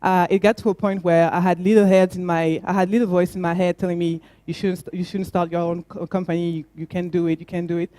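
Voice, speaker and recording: French woman, young founder, mellow tone, earnest talk with fillers, dry sound. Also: fairly low pitch, flat delivery, quick pace, hardly any pauses, no room sound. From France. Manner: earnest, with frequent fillers and restarts. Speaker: startup founder. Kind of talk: conference talk.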